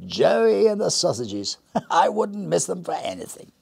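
A jolly gentleman stranger, as a male reader puts him on, hearty and laughing in a storybook tale. The voice lifts well above the reader's own and swings widely. It has a gravelly, husky grain. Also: high-pitched, sing-song, a little slow, voice pushed up, exaggerated voice. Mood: delighted. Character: cheerful jolly middle-aged gentleman stranger.

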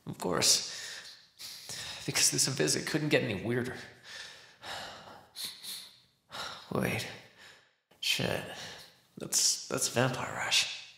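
Gravelly, starving captive vampire acted by a man. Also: medium pitch, pushed and bright, roomy sound, heavily acted. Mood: bitter, sarcastic. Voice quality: dry-throated, gravelly. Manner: wry, rambling, sweary, halting. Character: starving captive male vampire, sardonic, desperate and predatory. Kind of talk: ASMR roleplay acting.

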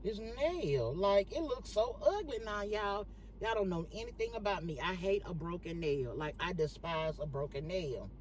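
A woman chatting casually to her viewers in a vlog, animated and talking straight to the camera from a parked car on her phone. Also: on the low side, moderate pace, a little hiss or hum, rough recording. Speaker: vlogger.

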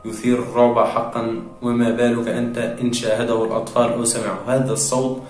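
A young man presenting a scripted explainer to viewers in formal, measured phrasing. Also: mid-range voice, monotone, darkish tone. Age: young adult. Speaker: video presenter. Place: small tiled room, indoors. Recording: echoey room sound.

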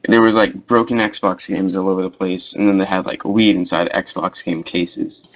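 Young man, slightly low voice, slangy, casual storytelling, muffled lo-fi chat audio. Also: fairly even intonation, moderate pace, fairly bright tone. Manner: easygoing, slangy, says "dude" and "bro". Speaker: voice chat participant.

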